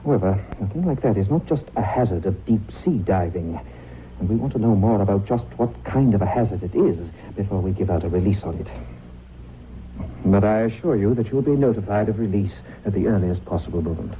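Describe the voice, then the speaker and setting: A man plays a courteous but grave British navy captain in an old radio drama. His low voice is formal and precise, choosing words with care. The recording is tinny and narrow, with noise underneath. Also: lively intonation, brisk, natural voice. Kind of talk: vintage radio drama acting.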